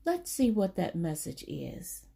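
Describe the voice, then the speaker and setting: A woman reading a children's book aloud, with deliberate, emphatic phrasing for young listeners. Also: on the low side, wildly swooping pitch, gravelly, dark tone, breathy edge. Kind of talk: storybook read-aloud for children.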